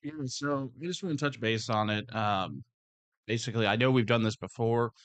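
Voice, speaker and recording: A man with a slightly hoarse voice, speaking as a security supervisor in casual workplace talk, rambling and restarting his words. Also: mid-range voice, moderate pace, fairly bright tone, no echo. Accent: Midwestern American.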